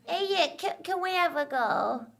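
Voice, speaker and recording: A woman's voice: very high voice, animated, measured pace, vocal fry, a bit bright, heavily acted. A woman playing a blunt, chatty elderly prisoner in a live comic stage drama, flippant and matter-of-fact.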